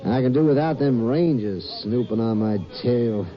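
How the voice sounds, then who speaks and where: A man's voice: medium pitch, normal speed, lightly acted. A man playing a cocky small-time gangster. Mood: swaggering. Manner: slangy, cocky, threatening. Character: tough small-time male gangster on the run. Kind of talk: 1950s radio acting. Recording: lo-fi old radio broadcast with music.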